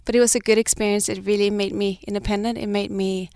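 A woman's voice: mid-range voice, flat delivery, fast, a little mellow, dry recording, studio quality. A woman in her thirties who grew up in Sweden, answering interview questions as a fashion brand founder, reflective and restarting her sentences. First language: Danish or Swedish. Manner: reflective, with frequent restarts and light laughs.

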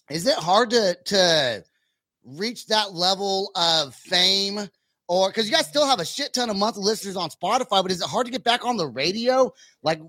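Casting: brash male podcast host, late thirties, high bright voice, casual bro chatter. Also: dry recording. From California. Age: late 30s. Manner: brash, swearing, bro slang. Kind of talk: casual chat.

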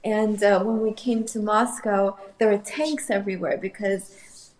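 A woman's voice: mid-range voice, fairly even intonation, a little slow, a little mellow. A woman from Russia, an artist guest, musing thoughtfully and hesitantly in a casual interview. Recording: roomy echoey sound.

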